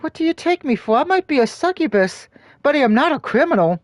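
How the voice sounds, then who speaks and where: A man's voice: extremely high pitch, big pitch swings, quick pace, no echo, voice pushed up. Prim succubus queen, raised and lofty, as a male streamer puts her on, stiff formal delivery, dry close sound. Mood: haughty. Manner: stiff, old-fashioned phrasing.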